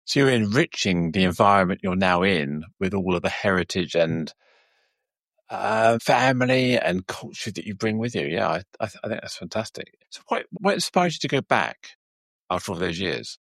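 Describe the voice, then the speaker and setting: Host: man, deep, husky, lively intonation, hesitant phrasing, dry sound. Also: normal speed, dry recording. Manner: hesitant, repeats words. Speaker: interviewer. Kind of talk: interview questions.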